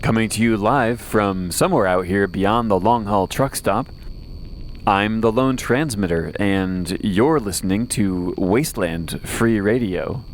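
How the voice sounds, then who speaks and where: A man's voice: on the low side, unhurried, slight background noise, close to an everyday voice. A man playing a wry, weary post-apocalyptic radio host who rambles conversationally through a late-night audio drama monologue. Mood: hushed, weary. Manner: conversational, wry, rambling asides and self-corrections.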